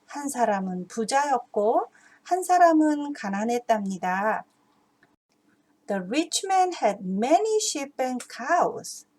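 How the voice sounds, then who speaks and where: A woman narrating a children's Bible story in a deliberate, expressive storybook style, like a teacher reading to kids. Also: high-pitched.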